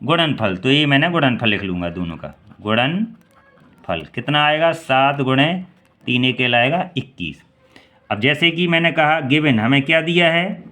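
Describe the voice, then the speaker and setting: Man from India, 30s, bright tense voice, exam-prep maths lesson, asks and answers own questions. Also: mid-range voice, lively intonation, unhurried. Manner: quizzes listeners and answers himself; repeats key steps and numbers. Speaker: maths teacher.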